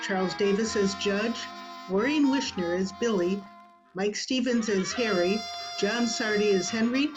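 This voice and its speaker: A woman reading scripted closing announcements in the style of an old-time radio theater, on a lo-fi remote recording. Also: mid-range voice. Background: prominent music.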